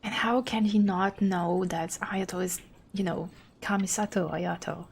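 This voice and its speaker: Creaky-voiced woman streaming a game. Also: lowish voice, fairly even intonation, measured pace, slight background noise. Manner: chatty, reacts aloud to game dialogue. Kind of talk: casual live stream commentary.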